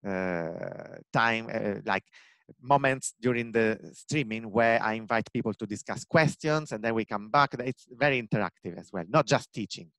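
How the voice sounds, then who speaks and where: A man's voice: on the low side, moderate pace, a bit bright, dry recording. A man in his forties from Rome with an Italian accent, talking earnestly on a video call through a headset, with many fillers and restarts. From Italy, Rome. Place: home room with bookshelves.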